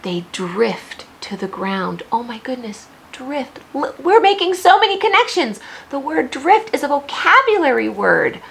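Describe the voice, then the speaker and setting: An American woman teacher reading a picture book aloud to young children, expressive, with questions and teaching asides. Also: sits high, measured pace, vocal fry, hardly any pauses.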